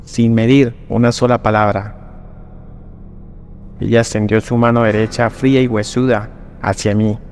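Low-voiced man narrating a horror tale. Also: flat delivery, moderate pace, pauses often, a little hiss or hum. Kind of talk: dramatic scripted horror narration. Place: voice-over over rainy night images. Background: soft background music.

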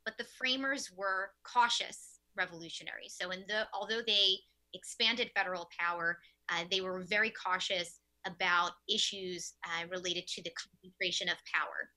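A woman's voice: fairly low pitch, fairly even intonation, normal speed, few pauses, pushed and bright, rough recording. A young woman giving a prepared keynote lecture to teachers from her office, earnest, with some fillers. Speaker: keynote speaker. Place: office with window blinds, indoors. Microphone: webcam at desk.

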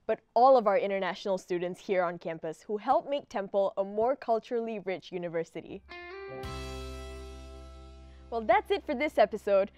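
A young woman hosting a student television talk show from a studio set, friendly and quick to laugh. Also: on the high side, a little mellow. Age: young adult. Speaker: host.

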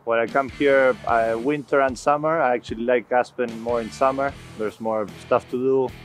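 A man from Argentina with an Argentine accent, a polo player giving brief relaxed answers. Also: mid-range voice, normal speed. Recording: guitar music behind.

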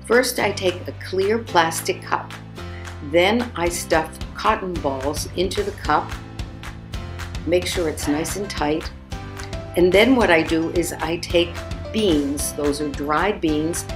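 An older woman, around her seventies, a children's author reading aloud with deliberate, expressive storybook phrasing. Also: fairly low pitch. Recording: echoey room, music underneath.

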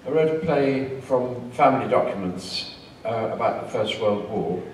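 A man's voice: medium pitch, animated, normal speed. An older man with an English accent chats on a convention panel, wandering through his stories with a self-mocking chuckle. His voice swings up and down a lot. It is picked up from far off in a very echoey hall, with faint background noise. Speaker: panel guest. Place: convention hall, audience present. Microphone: handheld mic, far camera.

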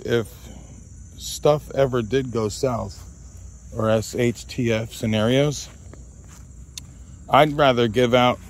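A man chatting casually and rambling as he vlogs outdoors, trying out handheld radios with short test calls. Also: medium pitch. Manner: rambling, talks to his dog. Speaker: vlogger testing handheld radios. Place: outdoors in a grassy field by woods.

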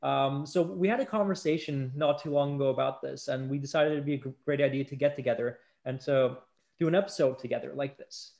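A man's voice: mid-range voice, a little fast, gravelly, a little mellow. A man in his thirties hosting a conversational podcast over a video call, with hesitant, self-correcting phrasing. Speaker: podcast host. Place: indoor room at home, video call.

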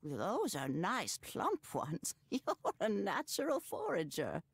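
A woman voicing a gentle, wise old grandmother with a creaky voice in a video game. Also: medium pitch, huge pitch swings, takes it slowly, no room sound, heavily acted. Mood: warm, wistful. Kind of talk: video game character acting.

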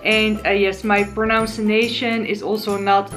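A Dutch woman in her twenties with a Dutch accent, chatting on camera from her living room as she teaches basic words, and slowing down to spell them out. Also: medium pitch, little pitch movement, fairly bright tone, faint music behind. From Netherlands. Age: 20s. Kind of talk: casual vlog lesson. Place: living room, sofa, indoors. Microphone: camera in front, seated.

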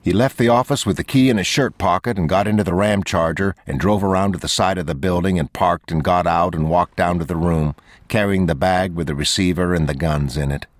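Deep-voiced man reading an audiobook. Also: fairly quick, dry recording. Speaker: audiobook narrator. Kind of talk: scripted audiobook narration.

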